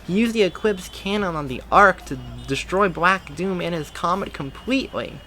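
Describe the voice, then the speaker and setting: A man voicing a lively, affectionate young woman who works as a reporter, raising his voice higher for her. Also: normal speed, slight background noise. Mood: chatty. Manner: raised, excited pitch.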